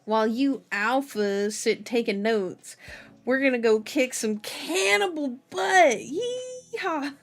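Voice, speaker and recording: A woman voicing an excited, cocky man with a boisterous Southern US drawl, from a novel read aloud. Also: sits high, slow, voice pushed up, full character voice. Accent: Southern US drawl, put on. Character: excited cocky young man, drawling Alabama-twanged team pilot.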